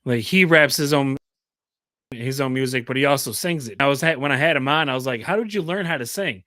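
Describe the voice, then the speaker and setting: A man hosting a casual podcast, giving short relaxed replies and telling anecdotes. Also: mid-range voice, a little fast, no room sound, studio quality. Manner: relaxed, brief backchannel replies. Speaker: podcast host. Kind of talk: casual interview chat.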